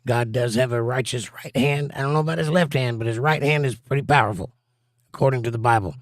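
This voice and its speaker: A man hosting a casual livestream, reading viewer comments aloud with jokes and silly bits, his voice a little hoarse and scratchy. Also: medium pitch, fast talker, no room sound, clean studio sound. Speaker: livestream host reading chat.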